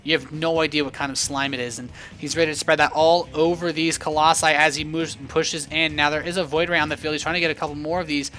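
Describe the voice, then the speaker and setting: A man giving live, animated and joking play-by-play commentary on a video game match as an esports caster. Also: a little high, fast talker, few pauses.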